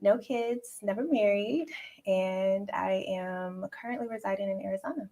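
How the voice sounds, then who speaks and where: A woman's voice: medium pitch, normal speed, mellow. A woman in her thirties from Chicago with an American accent, introducing herself in a friendly way on a dating show. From Chicago, United States. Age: 30s. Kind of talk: casual introductions.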